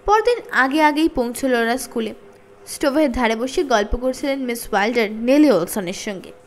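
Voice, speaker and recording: A woman reading a children's story aloud in an expressive, dramatized narrating style. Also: on the high side, moderate pace, slight background noise. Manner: dramatized, acting out dialogue. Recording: faint music bed. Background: soft background music.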